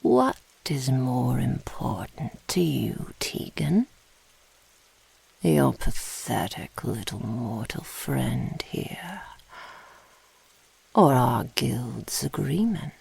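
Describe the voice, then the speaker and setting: A woman voicing an imperious, gloating dark mage in a fantasy ASMR roleplay, with a whispery, gravelly voice and haughty, archaic diction. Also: rumbling low, sing-song, extremely slow, no room sound, voice pushed down, exaggerated voice. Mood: taunting, cruel.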